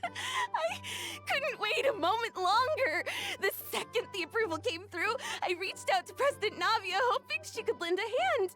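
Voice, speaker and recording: A woman playing an excitable, effusive young event organiser in a video game, polite and gushing. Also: extremely high pitch, moderate pace, few pauses, music in the background. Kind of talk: video game character acting.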